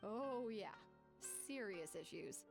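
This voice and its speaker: A sardonic, gossipy clinic worker from a video game, in a mid-pitched voice. She sounds amused as she tells tales, with faint music underneath. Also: animated, takes it slowly, creaky. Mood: wry, gossipy. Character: wry, gossipy female clinic record-keeper.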